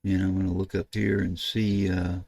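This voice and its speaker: An older American man narrating a casual screen-share tutorial, thinking aloud in a halting way as he works at his computer. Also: sits low, level tone, vocal fry, a little mellow, dry recording, studio quality. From United States. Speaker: ham radio video host. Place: indoors at a computer desk.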